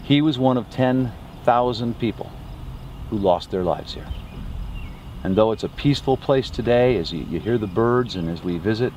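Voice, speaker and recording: Casting: male tour guide, lowish voice, deliberate dramatic storyteller, recorded outside with clear background noise. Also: animated, a little mellow. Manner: deliberate, short phrases with pauses. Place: outdoors on a battlefield. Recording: clear outdoor ambient noise.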